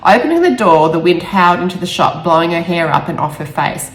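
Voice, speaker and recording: A woman author speaking in a halting, emotional way, stumbling over words now and then. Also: fairly low pitch, expressive. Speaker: author reading own novel aloud. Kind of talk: amateur storybook reading with personal asides. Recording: roomy echo with faint music.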